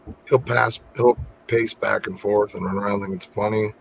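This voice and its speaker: A man hosting a casual working livestream from home over a video call, his voice going hoarse as he rambles through stories. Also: lowish voice, normal speed. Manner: rambling, animated storyteller. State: voice getting hoarse. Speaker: livestream host. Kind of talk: casual chat while working. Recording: lo-fi call audio with faint noise.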